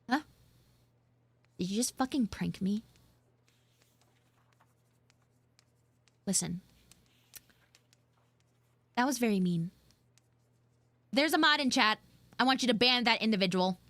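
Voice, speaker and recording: Tsundere schoolgirl for anime-style audio roleplay, played by a woman: high voice, pouty, defensive, halting, close dry sound. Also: big pitch swings, fairly bright tone, no echo, exaggerated voice. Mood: mock outraged. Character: flustered, defensive tsundere anime schoolgirl, mean but secretly soft. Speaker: livestreamer and VTuber voice actress. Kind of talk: improvised live audio roleplay with chat asides.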